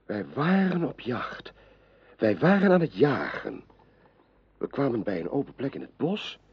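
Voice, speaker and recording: Noble king, very husky, swooping intonation, earnest, courteous, radio play. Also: mid-range voice, very animated, unhurried, no room sound, exaggerated voice. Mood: bewildered, alarmed. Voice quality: husky, gravelly. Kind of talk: radio drama acting. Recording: dull, lo-fi radio play recording.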